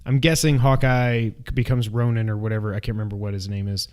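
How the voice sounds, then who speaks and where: A man's voice: lowish voice, flat delivery, fast talker, a little mellow. A man co-hosting a movie review, chatting informally in rambling, self-correcting sentences. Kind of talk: casual chat, film discussion.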